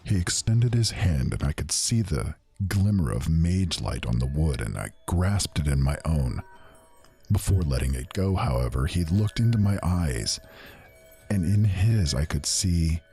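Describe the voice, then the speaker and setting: A man with an extremely deep, rough, raspy voice narrates a fantasy tale in the first person, calm and measured. Faint music plays underneath his words. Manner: measured, reflective storytelling. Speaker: storyteller.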